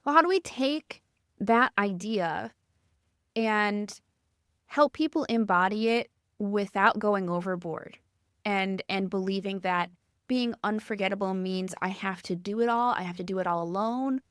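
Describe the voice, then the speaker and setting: A woman from the Midwest with a Midwestern accent, hosting a podcast interview in a gentle, reflective way with a sweet, calming voice. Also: medium pitch, measured pace, no room sound, studio quality. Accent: Midwestern American. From American Midwest. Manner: gentle, reflective, asks thoughtful questions. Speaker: podcast host.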